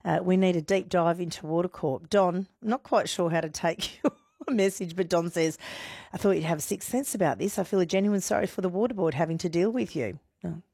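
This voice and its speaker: A woman's voice: lowish voice, a little fast, creaky, mellow, breathy edge, dry recording, clean studio sound. A woman hosting morning radio, breezy and friendly as she reads out listener messages. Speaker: radio host. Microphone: studio microphone.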